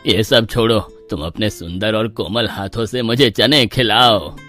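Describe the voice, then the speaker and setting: Man acting a sharp, slow-talking village uncle. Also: on the low side, fairly even intonation, soft background music. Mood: flirtatious. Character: gruff, scolding, lecherous village uncle. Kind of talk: Hindi cartoon horror story.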